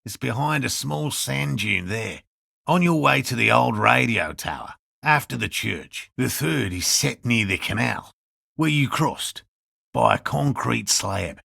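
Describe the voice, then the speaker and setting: An actor playing an earnest, stubbornly hopeful elderly male cryptozoologist in a video game, speaking with a gravelly rasp and a formal, pedantic manner. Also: mid-range voice, expressive, measured pace, pushed and bright, dry recording, clean studio sound, full character voice. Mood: polite, instructive. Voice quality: gravelly, raspy. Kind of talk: video game character dialogue.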